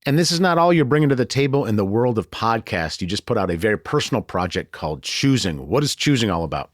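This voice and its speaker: A man's voice: on the low side, big pitch swings, fast talker, dry recording, studio quality. An American man hosting a podcast interview in a conversational style. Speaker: podcast host.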